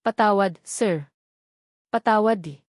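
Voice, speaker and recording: A woman's voice: mid-range voice, slow pace, no room sound, clean studio sound. A woman voicing a remorseful middle-aged housekeeper, pleading and respectful, in an audio drama. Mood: tearful pleading.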